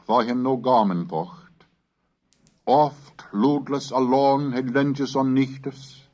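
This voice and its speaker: Man, muffled 1970s cassette sound, very unhurried pace, rhythmic verse recitation, declamatory, measured. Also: medium pitch. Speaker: reader of historical English texts. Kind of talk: recitation of Middle English alliterative verse. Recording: dull lo-fi 1970s cassette recording.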